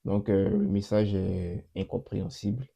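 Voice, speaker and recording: A young man hosting his channel and talking through a coding demo in a casual way, with a cold that makes his voice a bit off. He repeats himself often and fills pauses with hesitations. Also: on the low side, creaky, breathy edge, dull audio. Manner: repeats himself, many fillers and restarts. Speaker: channel host. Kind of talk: casual screen-recorded coding walkthrough.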